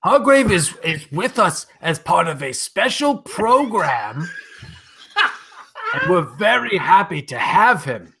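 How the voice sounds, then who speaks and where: Man playing creaky, animated, flippant Victorian gentleman. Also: high voice, slow pace, exaggerated voice. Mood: amused. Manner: playful, rambling, mock-patient. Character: chatty, flippant gentleman householder in Victorian detective spoof. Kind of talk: improvised comic parody of old-time radio drama.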